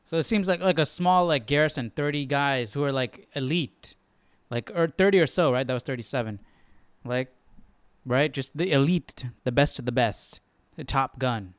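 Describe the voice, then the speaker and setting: A man's voice: medium pitch, normal speed, clear, resonant tone, no room sound. A man hosting a live study stream in casual, informal chat, thinking things through aloud.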